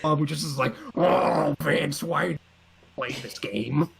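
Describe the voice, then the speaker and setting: A man's voice: fairly high pitch, takes it slowly, vocal fry, crowd noise. A man co-hosting a casual discussion of video games, informal and opinionated with a joking streak. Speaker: co-host of a video game series.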